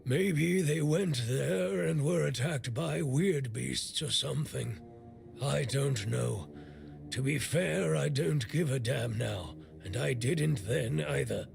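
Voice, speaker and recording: Gruff, weary blacksmith, heavily gravelly. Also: male voice, mid-range voice, takes it slowly, faint music behind. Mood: bitter, dismissive. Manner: blunt, grumbling, weary. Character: gruff, bitter male blacksmith, worried for a stricken young man. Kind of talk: video game dialogue.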